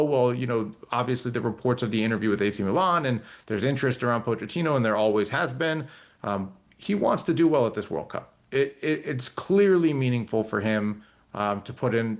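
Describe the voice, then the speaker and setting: A man, a soccer reporter joining a talk show as a guest, speaking in a thoughtful, rambling way with frequent restarts and fillers. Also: mid-range voice. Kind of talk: casual talk show chat.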